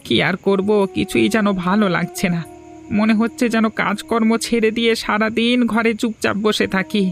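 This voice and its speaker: Man voicing a high, creaky, dejected village father. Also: little pitch movement, moderate pace, a bit bright, faint music behind. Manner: sorrowful, resigned. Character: dejected poor village father, a street entertainer. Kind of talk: Bengali moral-story cartoon dubbing.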